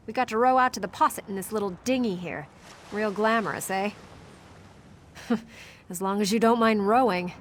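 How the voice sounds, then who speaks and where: A woman's voice: mid-range voice, a little hiss or hum, lightly acted. A woman voicing a brash, sarcastic sailor in a video game, with a wry, informal manner. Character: brash, sarcastic female sailor on a ship's crew. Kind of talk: video game character dialogue.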